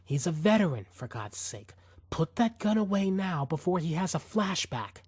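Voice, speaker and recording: A frightened young mother, voiced by a male narrator in an audiobook thriller. The voice is pitched high and swings widely as it pleads urgently with a gunman. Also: big pitch swings, normal speed, darkish tone, husky, no room sound, studio quality, full character voice. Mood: scared pleading.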